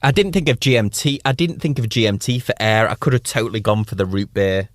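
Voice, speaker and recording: A man co-hosting a casual podcast, enthusiastic and talking in quick bursts. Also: fairly low pitch, no room sound, clean studio sound. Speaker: podcast co-host. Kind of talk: casual chat about watches.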